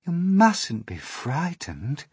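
A man voicing a kindly, motherly female ladybird in a storybook, speaking in a gentle, reassuring manner. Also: medium pitch, very animated, slightly rough, no room sound, pitched above the performer's own voice. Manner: gentle, soothing, unhurried.

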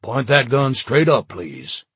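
A man voicing a calm armed man who defuses a standoff and reassures frightened refugees. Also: mid-range voice, slow pace, husky, no echo, voice pushed up, heavily acted. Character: calm, steady man with a pistol.

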